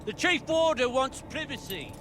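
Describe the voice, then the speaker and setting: High-voiced bullying prison boss. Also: male voice, sing-song, moderate pace, noisy background. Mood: angry, contemptuous. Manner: barking, insulting. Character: bullying, foul-mouthed male prison boss barking orders. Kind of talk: video game voice acting.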